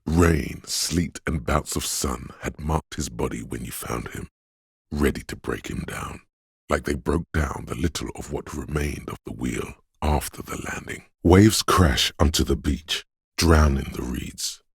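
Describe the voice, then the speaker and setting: An actor voicing a brooding, poetic, disembodied voice of a windswept city in a video game, with solemn, hushed and deliberate narration in a gravelly, husky bass. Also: male voice, no room sound, studio quality, full character voice. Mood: ominous, somber. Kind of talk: dramatic scripted game narration.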